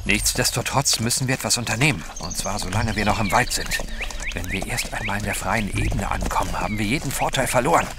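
An actor playing a composed, resolute gentleman adventurer in an audio drama, speaking in a measured, determined way. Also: on the low side, music in the background, close to an everyday voice. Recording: horse hooves clearly behind the voice.

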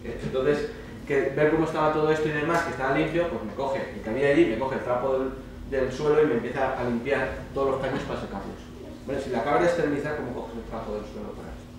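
A man with an accent from Spain giving an informal conference presentation, colloquial and emphatic, often checking in with short tag questions. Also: mid-range voice. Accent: Spanish (Spain). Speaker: speaker at a student congress. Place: indoor lecture hall, speaker standing on stage. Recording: roomy, distant mic with faint noise.

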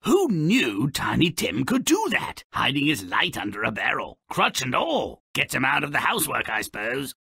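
A man voicing a mischievous, grumpy little creature as a sardonic video game narrator, with a gravelly, raspy voice and a mocking, grandiloquent manner. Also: mid-range voice, wildly swooping pitch, normal speed, few pauses, sharp tone, no echo, studio quality, heavily acted. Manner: mocking, grandiloquent, relishing misfortune.

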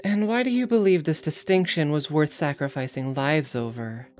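Casting: woman playing stern council interrogator, deep female register, cold and authoritative, audio drama. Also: darkish tone, faint music behind, lightly acted. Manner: authoritative, deliberate, severe.

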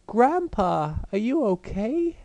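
A man voicing a naive, chirpy small teenage boy in a cartoon parody, with an odd put-on high voice. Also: big pitch swings, takes it slowly, gravelly, mellow, no echo, exaggerated voice. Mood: chirpy, eager. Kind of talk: anime parody dub.

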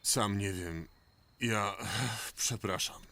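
An actor playing an earnest, measured superhero man in a dubbed cartoon. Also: mid-range voice, sing-song, heavy background noise. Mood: weakened, apologetic. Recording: loud music under the dialogue.

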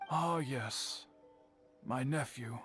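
An actor playing an elderly master swordsmith in a video game, earnest and patient, speaking in a measured, formal way. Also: male voice, mid-range voice, fairly bright tone, soft background music. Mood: troubled. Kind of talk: scripted video game dialogue.

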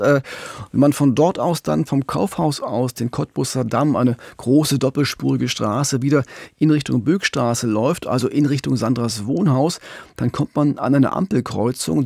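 A man's voice: medium pitch, gravelly, darkish tone, breathy edge, no room sound. A man co-hosting a true-crime podcast, narrating a case in a conversational way. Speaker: podcast co-host and crime reporter.

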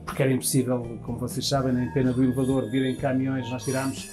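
A man's voice: medium pitch, flat delivery, quick pace, music in the background. A man in his fifties with a European Portuguese accent, a restaurant manager answering interview questions at length, restarting phrases as he goes. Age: 50s. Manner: talks with his hands, many restarts. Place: restaurant dining room, indoors.

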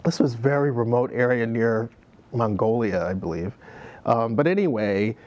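A man's voice: medium pitch, moderate pace, darkish tone. A middle-aged man, a dance director interviewed as a guest in a TV studio, telling reflective stories with many fillers and easy laughter. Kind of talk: conversational interview. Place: TV studio set.

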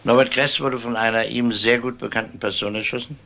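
An actor playing a methodical police inspector in a crime drama, questioning in a deliberate, polite manner. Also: male voice, medium pitch, fairly even intonation, pushed and bright. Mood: probing. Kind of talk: German TV crime drama acting.

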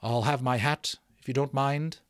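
A man's voice: mid-range voice, steady tone, moderate pace, dry recording, studio quality, close to an everyday voice. A man voicing a proud, prickly magician in a storybook, speaking in a formal, self-important manner. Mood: hesitant. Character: proud, prickly male magician, older than he looks.

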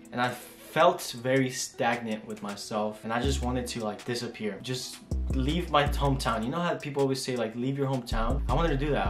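Chatty young man in an echoey room. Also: medium pitch. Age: 20s. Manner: chatty, rambling, with frequent fillers and restarts. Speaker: flight attendant vlogger. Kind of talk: casual Q&A chat. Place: small home room indoors.

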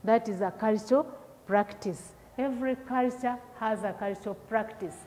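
A woman's voice: a little high, moderate pace, darkish tone. Woman, Ugandan accent, middle-aged, teacher, asks questions, repeats words, reverberant classroom. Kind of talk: recorded classroom lesson for pupils. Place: classroom with projector screen.

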